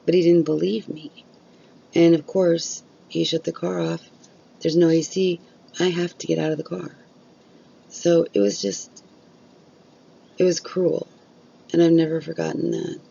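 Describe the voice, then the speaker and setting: A woman's voice: sits low, little pitch movement, a little slow, creaky, long pauses, dark tone, husky, highs cut off. A woman vlogging candidly about chronic illness, blunt and open, swearing freely while losing her voice. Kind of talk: candid casual vlog talk.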